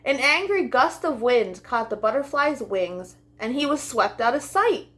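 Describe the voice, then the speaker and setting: A woman reading a children's storybook aloud as a storytime host, with expressive, deliberate delivery for young listeners. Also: high voice.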